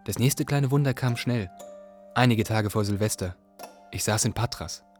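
A man's voice: fairly low pitch, moderate pace, a little mellow, faint music behind, close to an everyday voice. A man playing a restless, longing young refugee who narrates his journey in a radio play. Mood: wistful.

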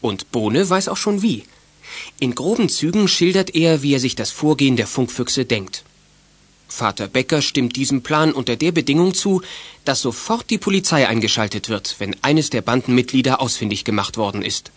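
A man narrating a German children's detective audio drama as a calm, scripted storyteller. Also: mid-range voice, moderate pace, no room sound, lightly acted. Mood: matter-of-fact.

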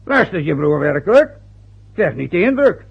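A proud, aggrieved older father who grumbles and lectures in a husky, gravelly voice, pitched a little high. The old radio-play recording sounds dull and lo-fi. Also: very animated, normal speed, full character voice. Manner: aggrieved, lecturing, rambling with hesitations. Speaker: father of a newly married son. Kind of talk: vintage radio play acting.

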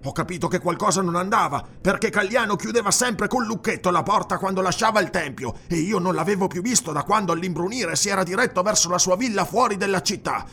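A man voicing a jittery, blustering night watchman in a fantasy audiobook, with a strained, raspy, nervous voice. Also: high-pitched, quick pace, few pauses, slight background noise, voice pushed up, exaggerated voice. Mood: agitated, explaining. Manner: nervous, excitable exclamations. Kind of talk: dramatized fantasy audiobook dialogue.

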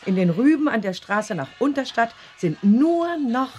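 A woman's voice: on the high side, sing-song, a little slow, darkish tone, soft background music. A woman playing a gossipy, prickly village shopkeeper in a radio drama, chatty and opinionated. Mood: huffy.

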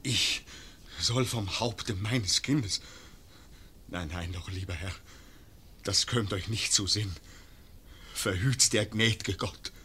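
A man's voice: on the low side, slow, long pauses, sharp tone, slight background noise. An actor playing a proud marksman father in a classic radio play, earnest, humble and anguished. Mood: pleading. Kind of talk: classic radio drama acting.

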